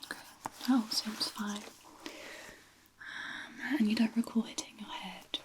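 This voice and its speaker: Soft whispering woman, gentle ASMR medical roleplay. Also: medium pitch, steady tone, measured pace, low-quality recording. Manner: gentle, hesitant, reassuring, explaining each step. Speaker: ASMR performer playing a physiotherapist.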